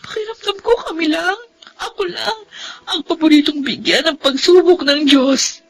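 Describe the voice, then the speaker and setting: A woman playing a resilient, weary young student and daughter in an expressive Filipino radio drama, with a Filipino accent. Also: sits high, moderate pace, rough recording. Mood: tearful, exhausted.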